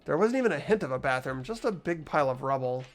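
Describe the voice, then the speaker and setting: Game lead: hesitant, earnest teen boy sleuth read by an amateur player, middling pitch, expressive swings, dialogue and musing. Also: quick pace, soft background music, close to an everyday voice. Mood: curious, thinking aloud. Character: mild-mannered, hesitant teenage boy detective in a game. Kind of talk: amateur voice acting and inner monologue of a game script.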